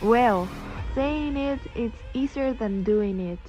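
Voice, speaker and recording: A woman's voice: on the high side, slow, dark tone, soft background music. A woman playing a defensive young schoolboy in an amateur radio drama. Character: defensive young schoolboy recounting his nightmare. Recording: slightly dull, muffled microphone.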